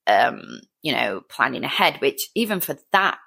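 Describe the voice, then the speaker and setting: A woman's voice: rumbling low, big pitch swings, normal speed, creaky, pushed and bright, slightly rough. A woman of about forty chatting casually on her own as a fitness trainer, rambling along in an upbeat, encouraging way. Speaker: fitness trainer and business owner hosting a show. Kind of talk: casual solo chat, personal update.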